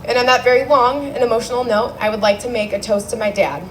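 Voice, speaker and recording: A young woman giving a memorial speech for her father into a handheld mic, telling the story with warmth and dry humor. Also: a little high, fairly even intonation, fast talker, a little hiss or hum, poor audio. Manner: heartfelt, wry storytelling. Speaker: daughter giving a eulogy speech. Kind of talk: memorial speech read aloud. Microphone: handheld microphone.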